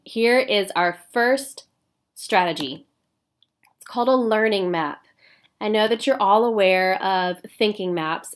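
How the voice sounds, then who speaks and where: A woman with a stuffy nose from a cold, giving a recorded professional development talk for teachers with clear, paced explanation and frequent "um"s. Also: medium pitch. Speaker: instructional coach.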